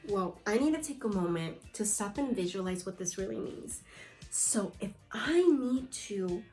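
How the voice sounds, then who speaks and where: A woman's voice: mid-range voice, expressive, unhurried, darkish tone, faint music behind. A woman teaching young children through a read-aloud lesson, reading from a book and prompting pupils with questions and short instructions. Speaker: second-grade teacher.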